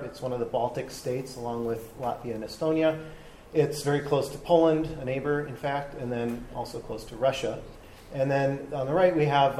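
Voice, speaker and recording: A man giving a casual live talk to an audience, relaxed and hesitant, with frequent ums. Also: mid-range voice, slight background noise, rough recording. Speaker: travel talk presenter. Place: live talk before a seated audience with drinks.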